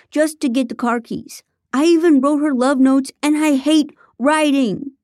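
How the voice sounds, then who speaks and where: A woman's voice: high-pitched, a little mellow, dry recording, clean studio sound, voice pushed up, lightly acted. A woman doing a sleazy, whining henchman. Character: grown man, sleazy self-pitying loyal henchman.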